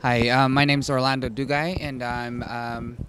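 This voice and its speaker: Halting, flat-voiced man designer. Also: mid-range voice, background noise. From Arizona. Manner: halting, many fillers and restarts. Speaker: fashion designer. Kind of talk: press junket introduction. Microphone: handheld mic.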